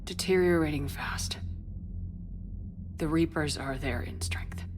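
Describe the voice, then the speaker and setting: A woman's voice: sits low, takes it slowly, noisy background. A woman playing a weary, resolute military commander in a science fiction game, with a terse, commanding delivery. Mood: grim, defeated. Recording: game music behind the voice.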